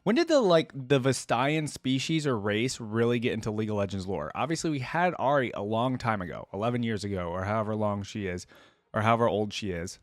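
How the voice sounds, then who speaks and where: A man's voice: fairly low pitch, animated, brisk, no echo, studio quality. A man giving casual reaction commentary as a video host, rambling as he thinks aloud. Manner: rambling, thinks aloud, repeats "like" and "you know".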